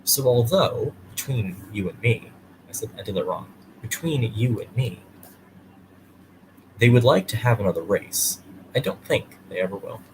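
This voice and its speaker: A man's voice: medium pitch, animated, normal speed, pauses often, noisy background. A man hosting and reading a children's bedtime story aloud. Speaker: storyteller and host.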